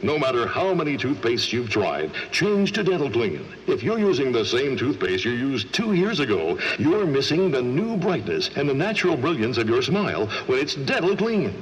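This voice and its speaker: Lively 1940s American radio ad man. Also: mid-range voice. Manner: polished, persuasive sales delivery. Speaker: radio announcer. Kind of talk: 1940s radio advertising and station announcements. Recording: slightly noisy vintage radio.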